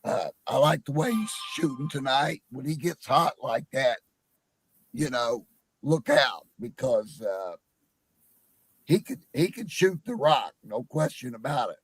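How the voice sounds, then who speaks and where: A hoarse man with a cold calling into a live sports chat, rambling and hesitant with many fillers. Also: medium pitch, expressive, a little slow, dry recording. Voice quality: gravelly, husky. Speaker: caller on a live panel stream. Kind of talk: casual call-in chat.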